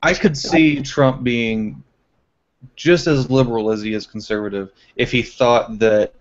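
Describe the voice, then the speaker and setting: A man's voice: medium pitch, measured pace. A young man co-hosting a casual political podcast from home over a video call, with a dry, wry humor. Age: young adult. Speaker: podcast co-host. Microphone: earbud headset on video call. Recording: dull lo-fi video-call audio.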